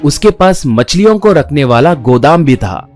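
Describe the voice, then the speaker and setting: A man's voice: fairly high pitch, very animated, normal speed, darkish tone, soft background music. A man narrating a scripted children's story as the storyteller.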